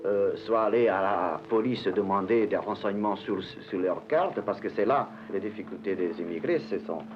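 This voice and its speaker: A man's voice: lowish voice, normal speed, highs cut off, noisy background. A man who teaches literacy, explaining his approach earnestly while searching for words.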